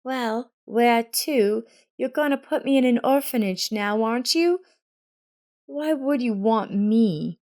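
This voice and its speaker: A woman's voice: fairly high pitch, slow pace, darkish tone, pitched above the performer's own voice. In a narrated family story, a woman plays an earnest little girl. The voice lifts well above an adult register and sounds young and emotionally open. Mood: bitter, wary. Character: anxious, bitter little girl who later brims with excitement.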